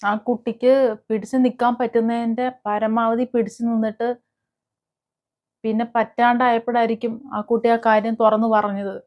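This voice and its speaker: Lady doing opinionated video commentary, highish voice, stops and starts with little hums, kinda muffled lo-fi audio. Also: flat delivery, moderate pace. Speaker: host of a gossip and commentary video channel. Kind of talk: casual opinionated news commentary to camera.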